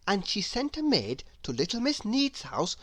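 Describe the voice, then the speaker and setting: A woman reading a children's story aloud in an expressive, deliberate, theatrical way. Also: mid-range voice, dry recording. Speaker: storybook reader.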